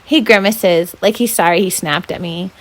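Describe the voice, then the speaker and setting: A woman volunteer reading a children's novel aloud in an expressive, first-person storytelling style. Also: lowish voice, moderate pace.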